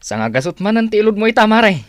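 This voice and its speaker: An actor playing a put-upon neighbourhood husband in a radio comedy drama. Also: male voice, sits high, very animated, quick pace, no room sound. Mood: protesting. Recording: music bed behind radio drama dialogue.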